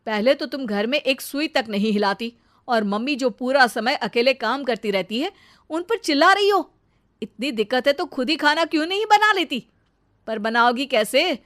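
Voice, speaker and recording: A woman voicing an indignant young husband who scolds loudly and sharply in an animated family drama. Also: high voice, moderate pace. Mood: angry, scolding. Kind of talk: melodramatic animated story voice acting.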